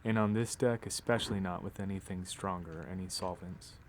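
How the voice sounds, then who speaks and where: A man's voice: deep voice, fairly even intonation, a little fast, noisy background. A man who works as a recording engineer, casually narrating a hands-on demonstration in a studio, recorded on a phone. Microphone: phone video microphone.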